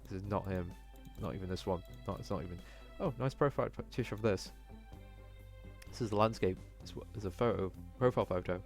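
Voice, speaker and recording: A man's voice: sits low, brisk, a little mellow, faint music behind. A man voicing an anxious, self-doubting young cat student who talks fretfully to himself in a visual novel. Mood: weary searching.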